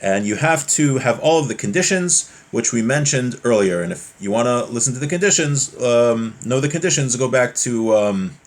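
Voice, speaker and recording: A man's voice: mid-range voice, animated, normal speed, few pauses. A man teaching a religious class informally, reading from a text and explaining it conversationally with everyday examples.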